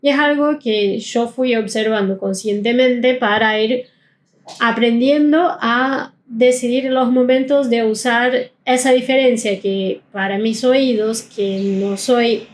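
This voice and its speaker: A woman's voice: a little high, steady tone. Woman teaching German pronunciation. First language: not Spanish. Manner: explanatory, slows down to model sounds. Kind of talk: pronunciation lesson.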